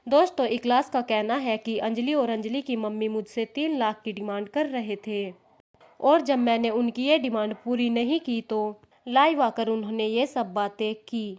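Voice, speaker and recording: A woman's voice: fairly high pitch, normal speed, a little mellow. A woman narrating scripted social media commentary, addressing viewers in an opinionated, admonishing way. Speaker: narrator of a social media gossip news channel.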